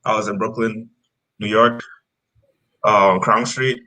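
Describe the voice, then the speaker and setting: A man from Trinidad in his thirties, relaxed and rambling as he tells his story with lots of fillers. His voice sits low but has a bright, forward edge. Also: unhurried. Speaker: guest rapper. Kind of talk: casual interview chat.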